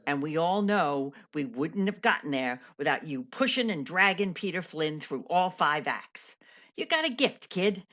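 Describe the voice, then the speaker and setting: An actress in a radio play playing a worldly, kindly stage veteran with a wry, frank, slangy manner. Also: female voice, low voice, lively intonation, measured pace, a bit bright. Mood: wry, encouraging.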